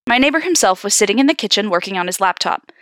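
Animated, bright-voiced woman reading aloud. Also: medium pitch, fast talker, dry recording, clean studio sound. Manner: slangy, sarcastic, expressive asides. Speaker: podcast host reading horror stories aloud. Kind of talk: story read-aloud mixed with casual banter.

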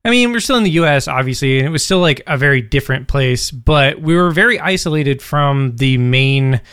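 A man's voice: medium pitch, lively intonation, fairly quick, a bit bright, clear, resonant tone, dry recording, studio quality. A man in his thirties from Alaska hosting a casual podcast, enthusiastic and agreeable. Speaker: podcast host. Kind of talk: casual chat.

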